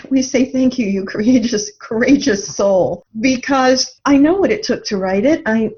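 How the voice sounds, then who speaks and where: Seeking a woman with a medium-pitched voice for a reflective author interview, conversational and animated when retelling, slightly dull recorded sound. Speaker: guest, author and radio drama writer.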